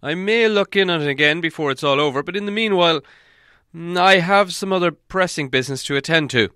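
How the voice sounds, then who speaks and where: A man's voice: a little high, brisk, bright, forceful tone, clear, resonant tone, dry recording, studio quality, pitched above the performer's own voice. A man voicing a wise, self-assured old wizard who speaks in a raised, emphatic way and stumbles over some restarts. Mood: earnest.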